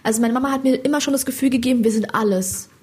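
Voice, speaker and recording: A young woman comedian with a Hessian accent talks in a slangy, animated way, often checking in with a quick "you know?". Her voice sits fairly high and has a husky, breathy edge. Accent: Hessian German. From Hesse, Germany. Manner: animated, slangy, keeps asking "weißt du?". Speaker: stand-up comedian, guest. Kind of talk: casual interview chat.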